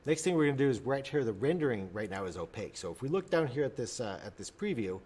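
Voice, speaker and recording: A man explaining software step by step in an unscripted tutorial, often repeating and rephrasing himself as he goes. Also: medium pitch, sing-song, fast talker, breathy edge.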